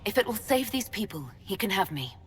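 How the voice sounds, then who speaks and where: An actress playing a defiant, resolute heroine in a fantasy game, speaking directly and urgently. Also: female voice, medium pitch, very animated, brisk, long reverb tail, natural voice. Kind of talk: fantasy video game acting.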